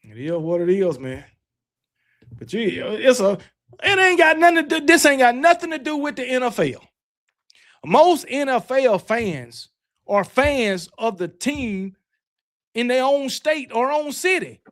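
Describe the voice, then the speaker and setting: A man's voice: very high voice, big pitch swings, measured pace, fairly bright tone. A man from Alabama with a Southern US accent, hosting a casual sports talk show in a blunt, emphatic, argumentative manner, often repeating himself. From Alabama, United States. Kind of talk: casual live sports debate.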